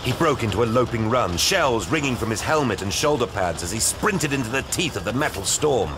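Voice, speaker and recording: A man's voice: medium pitch, lively intonation, fairly quick, background noise. A man giving solemn, theatrical scripted narration for a war audio drama.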